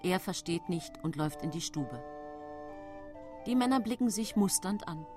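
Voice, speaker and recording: An actress playing a secretive nineteenth-century woman poisoner who tells her own story in hushed, composed radio drama narration. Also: on the low side, expressive, normal speed, over music, natural voice. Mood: tense, watchful. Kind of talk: intimate first-person radio drama narration.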